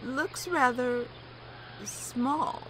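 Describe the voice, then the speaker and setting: A woman playing a polite, wary elderly widow in an audio drama, speaking slowly and carefully. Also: high-pitched, slight background noise. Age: older. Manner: polite, wary, slow and lilting. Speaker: homeowner.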